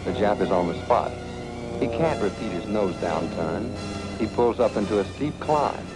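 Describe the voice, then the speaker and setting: A man's voice: fairly low pitch, moderate pace. An American man narrating a 1940s military training film in a punchy, colloquial, dramatic storytelling manner. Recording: 1940s film soundtrack with music.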